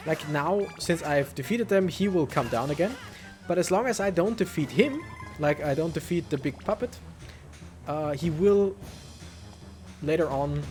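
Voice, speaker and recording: Let's play host, male: lightish high pitch, casual thinking-aloud commentary, soft game music underneath. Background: faint video game music.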